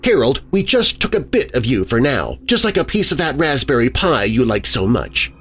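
A man's voice: mid-range voice, sing-song, normal speed, a bit bright, faint music behind. A man voicing a coldly deranged wife who soothes and sneers with hissing menace in a horror story. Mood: calm menace.

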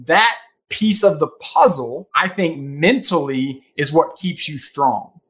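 A man's voice: a little high, slow, fairly bright tone. A man in his thirties, a guest on a podcast, giving earnest financial advice and often checking in with "right?". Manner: earnest, advisory, emphatic with repeated "right?". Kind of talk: casual podcast interview.

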